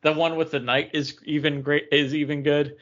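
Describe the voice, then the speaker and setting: A man's voice: on the high side, flat delivery, normal speed, a bit bright. Muffled man chatting on a podcast. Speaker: podcast co-host. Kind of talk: casual chat during a pub trivia game. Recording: dull, band-limited lo-fi audio.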